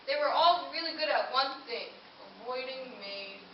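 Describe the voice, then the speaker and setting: A woman's voice: high voice, lively intonation, normal speed. A woman playing an eager, proud, odd-looking pet dog who tells his own adventures in a children's puppet show. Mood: wry, wistful. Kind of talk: first-person storytelling in a children's puppet show. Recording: echoey room, distant mic, dull, slight hiss.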